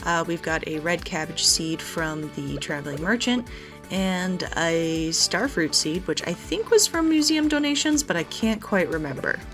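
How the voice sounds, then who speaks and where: A woman's voice: on the low side, animated, unhurried, fairly bright tone. A woman hosting a gaming video, giving chatty, explanatory let's play commentary in a casual style. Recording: background music under the voice. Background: game music.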